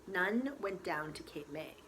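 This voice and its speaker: Bright-voiced woman reading a novel, unhurried. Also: on the low side, lively intonation, low-quality recording. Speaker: storyteller reading a novel aloud. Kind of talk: expressive scripted narration. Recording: faint background noise.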